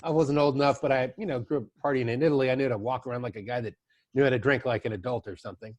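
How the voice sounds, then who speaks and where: A man's voice: medium pitch, fairly even intonation, very fast, dry recording. A middle-aged man hosting a podcast, chatting casually and telling loose stories with asides. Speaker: podcast host. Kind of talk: casual conversation.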